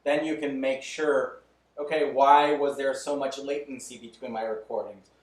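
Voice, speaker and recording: A man's voice: medium pitch, steady tone, moderate pace. A man in his thirties talking loosely and candidly as a podcast guest, chuckling between thoughts. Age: 30s. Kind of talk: casual interview chat. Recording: roomy echo, mic at a distance.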